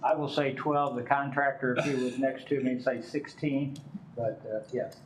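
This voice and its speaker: An older man representing a developer, giving folksy, rambling testimony at a city council meeting and speaking loudly on purpose. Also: medium pitch, steady tone, moderate pace, far from the mic, a little hiss or hum, poor audio.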